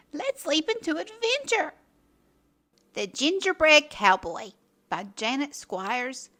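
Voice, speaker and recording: Female children's storyteller: higher register, lively melody, dramatic emphasis, scripted read-aloud.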